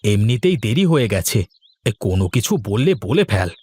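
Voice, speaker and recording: A man's voice: fairly low pitch, very animated, moderate pace, darkish tone, husky, dry recording. A man voicing a mild, absent-minded village husband in a cartoon, with a placating manner. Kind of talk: cartoon voice acting.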